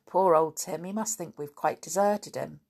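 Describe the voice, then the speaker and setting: A woman's voice: low voice, moderate pace, vocal fry, a little mellow. A woman voicing an eager, inquisitive boy in an old-fashioned children's adventure story, with a jolly, exclamatory manner. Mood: sympathetic.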